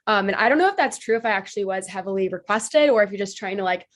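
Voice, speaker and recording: A woman's voice: mid-range voice, expressive, fast. A woman in her twenties from Ohio, a podcast guest, slightly nasal from allergies, talking in an upbeat, bubbly way. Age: 20s. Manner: upbeat, bubbly, frequent "like" fillers, laughs easily. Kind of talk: casual interview chat.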